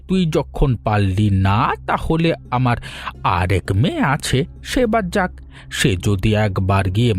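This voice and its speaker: A man's voice: fairly low pitch, natural voice. A man voicing a middle-aged village father in a cartoon fairy tale, chiding and goading his daughters in a lecturing manner. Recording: music under the voice.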